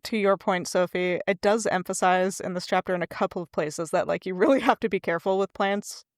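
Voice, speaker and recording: A woman's voice: fairly low pitch, steady tone, brisk, no room sound. Woman chatting casually, playful and close-sounding. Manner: teasing, playful, laughs often. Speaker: podcast co-host. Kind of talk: casual book discussion chat.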